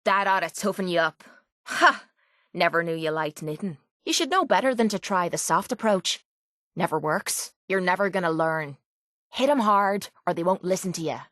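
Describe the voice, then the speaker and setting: A woman's voice: fairly low pitch, normal speed, a bit bright, no echo, studio quality, close to an everyday voice. A woman voicing a tough, sarcastic young Irish mercenary companion in a video game, blunt, cocky and slangy.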